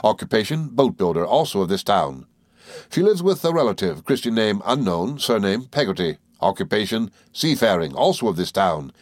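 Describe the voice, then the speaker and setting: A man reading a story aloud, voicing a confident young gentleman who speaks slowly and teasingly. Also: lowish voice, sing-song, dry recording, clean studio sound. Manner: smooth, teasing, deliberate.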